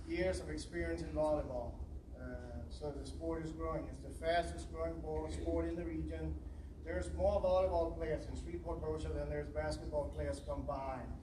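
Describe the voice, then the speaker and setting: A volleyball coach with an accent giving an earnest, emotional speech at a signing ceremony, talking in a rambling way with fillers. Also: male voice, on the high side. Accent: non-native English. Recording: echoey room, far from mic, noisy.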